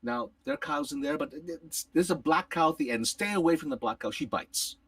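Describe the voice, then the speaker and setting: A man's voice: fairly high pitch, expressive, fairly quick, a bit bright, breathy edge, no echo, recorded from a distance, full character voice. Man putting on a fluttery farmer's wife. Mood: kindly fussing. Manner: fluttery, repeats herself, rising to shrieks. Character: fussy, kindly farmer's wife, middle-aged woman. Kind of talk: oral storytelling.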